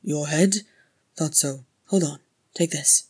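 A woman narrator voicing a gruff old male dwarf healer, scolding and exasperated, in a storybook reading. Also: fairly high pitch, slow, darkish tone. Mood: brusque scolding.

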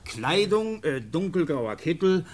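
An actor playing a brusque, officious police officer in a German radio play, gruff and full of hesitant "äh" when dictating. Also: male voice, fairly high pitch, very animated, takes it slowly, a little hiss or hum. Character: brusque, officious 19th-century police officer leading an interrogation. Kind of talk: 1950 German radio drama acting. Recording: vintage 1950 radio play recording.

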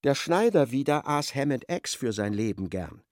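A man narrating a radio play in an expressive, literary storytelling style. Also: medium pitch, moderate pace, dry recording, studio quality.

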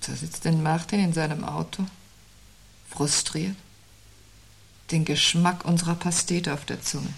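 Low, husky, wry woman. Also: fairly even intonation, exaggerated voice. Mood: wry, pensive. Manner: probing, dry, measured. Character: sceptical, wry, uneasy woman waiting out looming doom. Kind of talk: literary radio play dialogue.

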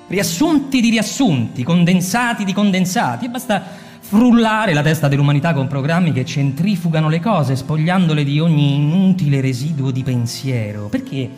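An actor playing a cold, persuasive male fire captain lecturing a subordinate in a radio drama, smooth and insistent, sometimes hushed. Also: a little high, lively intonation, moderate pace, few pauses, in a large hall, soft background music, close to an everyday voice.

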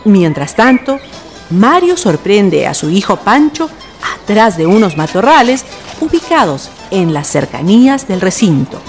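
A woman's voice: medium pitch, sing-song, measured pace, faint music behind. A woman with a Chilean accent giving scripted narration for a radio drama.

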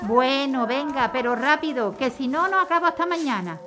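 A woman playing a busy housewife mother, brisk and fussing gently at her son in a radio drama. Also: high-pitched, lively intonation, over music. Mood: impaciente. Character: harried housewife mother, middle-aged, busy and fond. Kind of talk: amateur radio drama acting.

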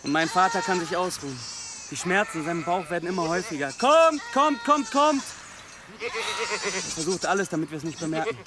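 A man's voice: high-pitched, animated, a little fast, music in the background. A man playing an earnest, defensive young goatherd in naturalistic radio drama dialogue. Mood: worried. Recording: outdoor noise with goats. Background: bleating goats, outdoor ambience.

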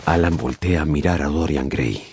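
A man narrating a radio novel dramatically, with deliberate, suspenseful phrasing. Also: rumbling low, darkish tone, slightly rough, no room sound, studio quality.

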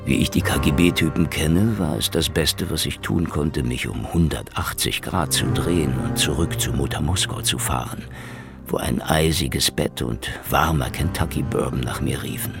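An actor playing a cynical, world-weary Soviet secret-police detective in a hardboiled radio drama, sardonic and slangy. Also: male voice, very deep voice, moderate pace, vocal fry, over music. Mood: brooding, wry. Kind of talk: noir radio drama acting and narration.

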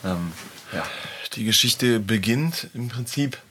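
A man recording a halting voice diary while in pain, sounding subdued and lonely. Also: medium pitch, animated, bright, forceful tone, slightly rough. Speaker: audio diary speaker. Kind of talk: confessional voice diary.

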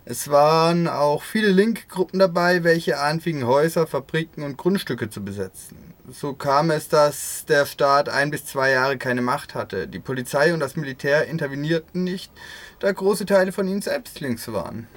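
A man's voice: a little high, normal speed. A man reading out translated interview answers as a radio voice-over.

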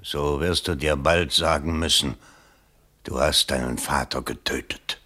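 A man's voice: a bass voice, steady tone, measured pace, full character voice. An actor playing a desperate, vain middle-aged father and political candidate in a theatrical radio play. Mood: desperate pleading. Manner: theatrical, pleading, swinging from hushed to frantic. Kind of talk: stage-like German radio play acting.

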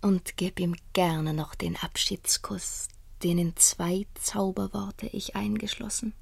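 A passionate young princess in classic radio play style. Her voice is husky and breathy at a medium pitch. She declaims intensely, both defiant and devoted, often sinking toward a whisper. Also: steady tone, slow pace, exaggerated voice. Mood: anxious longing.

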